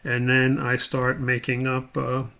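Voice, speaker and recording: Guy teaching software step by step, medium pitch, unscripted, kinda halting, talks thru what hes doing. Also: monotone, creaky. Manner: halting, thinking aloud while working. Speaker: instructor giving a tutorial. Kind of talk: step-by-step spreadsheet tutorial, unscripted.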